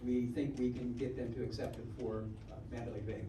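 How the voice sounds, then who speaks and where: A man's voice: mid-range voice, flat delivery, fairly quick. A man answering audience questions at a homeowners meeting, informative and full of uh and um. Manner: informative, with frequent uh and um. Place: community meeting hall. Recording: echoey, distant, noisy meeting room.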